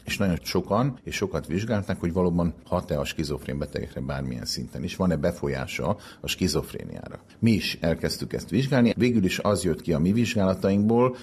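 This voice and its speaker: A man's voice: low-pitched, animated, few pauses, darkish tone, husky. A man speaking as a research director in an interview, explaining science in long sentences and restating his terms as he goes.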